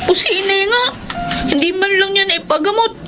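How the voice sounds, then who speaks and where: A woman's voice: very high-pitched, moderate pace, over music. A woman acting an aggrieved, tearful younger sister in a Filipino radio drama, plaintive and protesting. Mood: bitter sadness.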